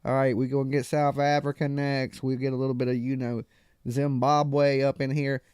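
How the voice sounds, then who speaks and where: A man's voice: medium pitch, level tone, normal speed, darkish tone, clean tone, dry recording, clean studio sound. A man hosting a live call-in stream, talking loosely and emphatically in casual slang. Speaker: live call-in show host. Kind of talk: casual live-stream talk about crime cases.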